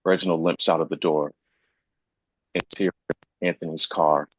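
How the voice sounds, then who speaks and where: A man's voice: sits low, fairly even intonation, normal speed, breathy edge. A man reading stage directions as scripted narration at a live screenplay table read, recorded muffled in an echoey room.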